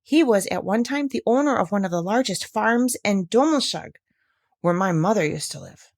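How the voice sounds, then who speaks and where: A woman playing a brisk, firm young village woman. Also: medium pitch, animated, no room sound, studio quality. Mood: confiding. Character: brisk, self-interested young village woman, defensive and knowing. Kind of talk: storybook character reading.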